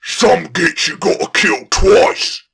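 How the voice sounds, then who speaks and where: A man voicing a huge, boastful, thick-headed orc warlord with a raspy, gravelly voice and crude slangy speech in a fantasy audiobook. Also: fairly high pitch, animated, slow, a bit bright, pitched above the performer's own voice, full character voice. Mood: gruff.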